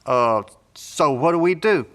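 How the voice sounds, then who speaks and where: A man's voice: fairly high pitch, lively intonation, a little slow, a bit bright. A man playing a blunt, folksy, skeptical post-apocalyptic survivor in an audio drama. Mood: plainspoken, skeptical. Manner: folksy, colloquial, drawling phrases.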